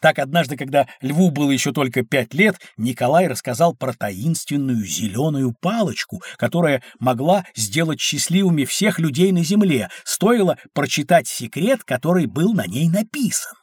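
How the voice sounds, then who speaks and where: A kindly, learned uncle in an educational audio play. His fairly high, slightly husky voice moves in lively swings as he explains things to children, recorded close and dry. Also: moderate pace, few pauses, dry recording. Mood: explanatory, kindly. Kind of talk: scripted educational audio drama.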